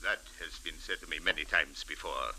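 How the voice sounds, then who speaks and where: An actor playing a haughty, sinister crime boss in an old-time radio drama, speaking formally and without contractions. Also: male voice, rumbling low, fairly quick, pushed and bright, slight background noise, exaggerated voice, poor audio. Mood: haughty, dismissive. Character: sinister, haughty male Egyptian crime boss, coolly evasive. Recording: vintage radio drama recording.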